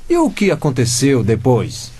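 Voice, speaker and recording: A man's voice: mid-range voice, huge pitch swings, moderate pace, warm tone, breathy edge. A man playing a cheeky, officious teenage boy who questions people like a detective in a comic radio drama. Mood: inquisitive. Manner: mock-formal, inquisitive.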